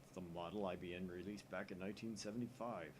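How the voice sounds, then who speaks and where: A man voicing a laid-back young male hacker friend who talks in an offhand, low mumble. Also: steady tone, fast talker, noisy background, close to an everyday voice. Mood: explaining.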